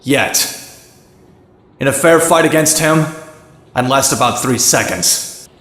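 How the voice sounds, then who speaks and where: Sardonic young vigilante, roomy sound. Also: male voice, mid-range voice, takes it slowly, fairly bright tone. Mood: wry tension. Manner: sardonic, dry, self-narrating. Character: wisecracking young male vigilante antihero, cynical and brash. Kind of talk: animated comic narration and dialogue.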